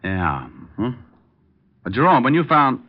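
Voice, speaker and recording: An American actor playing a wry, unflappable private detective in an old-time radio drama, with a dry, wisecracking manner. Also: male voice, mid-range voice, very animated, measured pace, highs cut off. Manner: dry, wisecracking, laid-back.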